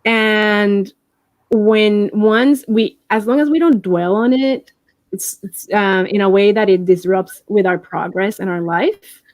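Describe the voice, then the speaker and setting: A woman in her forties with a Puerto Rican accent, talking warmly and encouragingly as an interview guest, often restarting her sentences. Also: mid-range voice, steady tone, takes it slowly, dry recording. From Puerto Rico. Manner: warm, encouraging, with many restarts. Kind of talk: casual interview.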